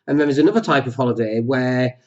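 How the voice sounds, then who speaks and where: A man hosting a solo podcast, talking with a cold that makes his voice deeper, rambling and jokey with many fillers. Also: fast talker, a little mellow, dull audio. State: has a cold, voice deeper than usual. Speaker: podcast host.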